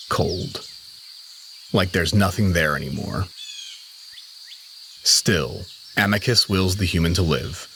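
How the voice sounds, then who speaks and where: Male narrator, low-pitched, solemn, measured, scripted fiction, faint cricket ambience. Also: pushed and bright, slight background noise. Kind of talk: scripted dramatic story narration.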